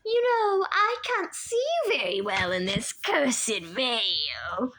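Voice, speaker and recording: A woman's voice: a little high, big pitch swings, slow pace, fairly bright tone, exaggerated voice. A woman doing a purring old snake-haired monster. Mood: coaxing. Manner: soothing, coaxing purr.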